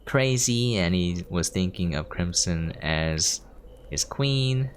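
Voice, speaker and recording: A man's voice: low voice, lively intonation, slow, slight background noise. A man giving casual gameplay commentary as a streamer, explaining things as he plays. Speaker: video game streamer.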